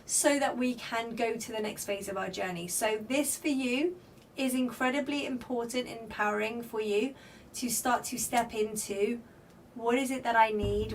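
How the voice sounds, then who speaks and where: Croaky-voiced woman reading cards live. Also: on the high side. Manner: reads comments aloud and greets viewers by name; flowing spiritual guidance with repeated phrases. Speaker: tarot card reader on a livestream. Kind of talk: live card-reading stream, chatting with viewers. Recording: slightly distant mic with faint background noise.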